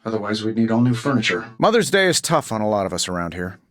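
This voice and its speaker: An actor playing a cocky, wisecracking billionaire inventor superhero in a video game, with a glib, sarcastic and self-assured delivery. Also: male voice, on the low side, big pitch swings, fast talker, a bit bright, no echo, studio quality. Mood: wry, lower-key.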